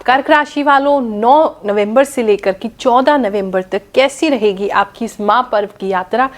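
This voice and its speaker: A slightly hoarse woman with a cold, an astrologer and spiritual guide, talking viewers through festival dates, rituals and their horoscope. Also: a little high. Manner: instructive, addresses viewers directly.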